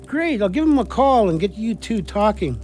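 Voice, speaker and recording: A man's voice: sits high, sing-song, moderate pace, a little mellow, soft background music. A man acting in an audio play as an encouraging, supportive friend with a reassuring, colloquial manner.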